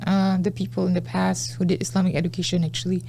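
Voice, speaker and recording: A man's voice: high-pitched, monotone, quick pace, vocal fry, warm tone, a little hiss or hum. A 27-year-old man, a podcast guest talking in an easygoing, hesitant way with many fillers. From Singapore. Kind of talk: casual interview chat.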